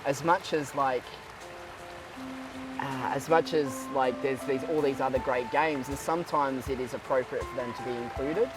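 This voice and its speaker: A man in his twenties being interviewed, talking in a thoughtful, halting way with many fillers and restarts. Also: mid-range voice, recorded from a distance, over music. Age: 20s. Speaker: guest. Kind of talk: casual interview.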